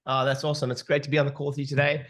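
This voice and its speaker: A man from South Africa with a South African accent, speaking as a guest on a webinar and telling stories in an animated way with many fillers over a video call. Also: mid-range voice, racing. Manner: animated, filler-heavy storytelling. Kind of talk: webinar talk.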